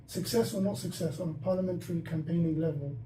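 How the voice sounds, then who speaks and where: A man's voice: fairly high pitch, fast, dark tone. A young man hosting a podcast, talking through his thoughts with many restarts. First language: not Dutch. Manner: talks in bursts with many restarts. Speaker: podcast host. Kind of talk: casual discussion. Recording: echoey room, mic some distance off, faint noise.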